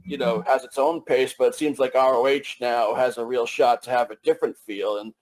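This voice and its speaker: Hesitant male journalist, mid-pitched voice. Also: fairly even intonation, fairly quick, darkish tone, low-quality recording. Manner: hesitant, long-winded. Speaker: wrestling journalist. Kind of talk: press question on a conference call.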